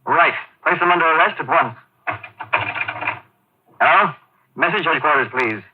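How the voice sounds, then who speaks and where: A man's voice: on the high side, unhurried, background noise, lightly acted. Tinny old-radio army captain, acted by a man. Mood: urgent, commanding. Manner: deferential, clipped military formality. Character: dutiful, earnest army captain, a general's male aide. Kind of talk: old-time radio drama acting.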